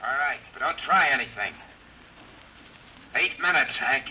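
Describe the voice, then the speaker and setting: A man's voice: mid-range voice, lively intonation, unhurried, pushed and bright. A man playing a desperate, intense test pilot frantic to avert doomsday, in a 1950s radio drama. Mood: threatening, desperate. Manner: intense, insistent. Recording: muffled, hissy old radio broadcast.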